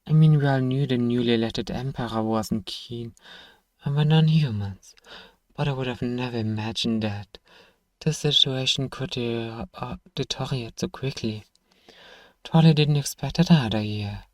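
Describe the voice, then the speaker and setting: A man playing a gruff, sardonic but grudgingly kind apothecary shopkeeper in an ASMR fantasy roleplay, dryly teasing and sighing as he talks to one listener. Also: medium pitch, unhurried, dry recording, clean studio sound, close to an everyday voice. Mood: sombre, apologetic.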